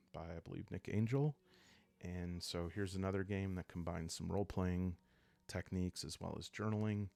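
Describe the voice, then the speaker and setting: A man speaking calmly and soothingly in an unhurried way, hosting a relaxing role-playing series meant to help listeners fall asleep. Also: deep voice, vocal fry. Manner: calm, unhurried, soothing delivery. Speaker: host of a solo role-playing video series. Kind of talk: calm, sleep-aid ASMR narration to viewers. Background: background music.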